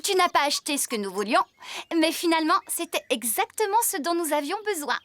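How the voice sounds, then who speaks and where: A woman acting a cheerful, practical young farmer in a French children's cartoon dub, speaking expressively and clearly. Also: high voice, big pitch swings, fairly quick, a little hiss or hum. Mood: delighted. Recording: loud music behind the dialogue.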